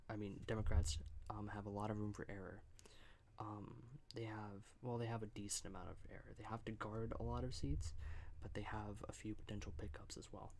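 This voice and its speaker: A soft-spoken man giving political commentary in an ASMR style, halting with fillers and slipping into whispers. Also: on the low side, a little hiss or hum. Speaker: ASMR creator.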